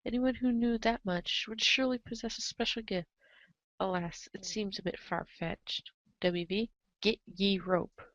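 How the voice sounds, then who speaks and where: A woman's voice: mid-range voice, steady tone, unhurried, a bit bright, dry recording. A woman reading scripted narration of a story in a readers' theater show. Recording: dull lo-fi microphone sound.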